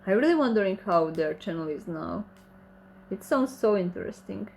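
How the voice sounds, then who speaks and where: Host: woman, Croatian accent, reads chat live and answers it, mid pitch, faint background hiss. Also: lively intonation, normal speed, creaky, darkish tone. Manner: reads viewer comments aloud and answers them, light laughs. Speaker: channel host reading chat questions. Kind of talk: casual livestream Q&A.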